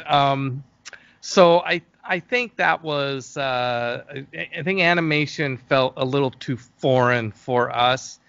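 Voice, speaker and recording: Halting middle-aged man in muffled, dry audio. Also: mid-range voice, pushed and bright, dry recording. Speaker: interview guest, fantasy film producer. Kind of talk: casual interview conversation.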